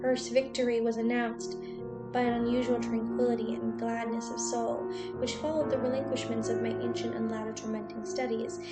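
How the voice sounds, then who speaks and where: A woman reading literary prose aloud in a measured, formal, audiobook-like way. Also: fairly high pitch, little pitch movement, reverberant. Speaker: streamer reading a novel aloud. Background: music.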